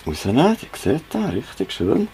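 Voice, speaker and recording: A man's voice: medium pitch, huge pitch swings, moderate pace, noisy background. An older man presenting in a casual demonstration style.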